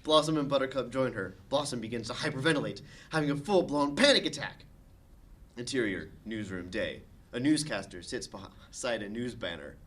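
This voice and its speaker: A man's voice: mid-range voice, animated, normal speed, fairly bright tone. A man reading scene directions as narrator at a comedic table read.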